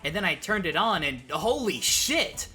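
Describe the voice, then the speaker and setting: Expressive, bright-voiced man doing video commentary. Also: sits high, moderate pace, soft background music. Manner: joking, self-interrupting, sweary. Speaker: video essay host. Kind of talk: casual scripted video essay commentary.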